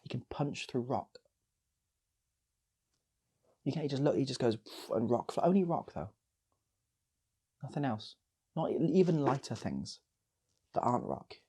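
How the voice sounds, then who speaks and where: A man's voice: mid-range voice, sing-song, unhurried, long pauses, warm tone, breathy edge. A man speaking casually as a channel host, in a playful, rambling way with repeated phrases, like improvised comic storytelling.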